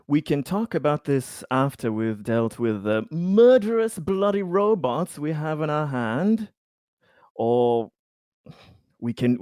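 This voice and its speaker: Colony insider played by a man, urgent and insistent, halting and slow delivery, dry close sound, sci-fi tabletop game. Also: mid-range voice, a little mellow, clean tone, no echo, close to an everyday voice. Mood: tense, insistent. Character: urgent colony insider warning against a dangerous synthetic. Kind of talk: improvised in-character roleplay.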